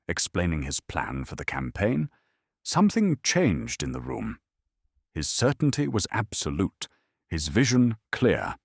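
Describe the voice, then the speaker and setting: A man narrating a scripted history bedtime story in a calm, measured storytelling manner. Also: low voice, vocal fry, no room sound, studio quality.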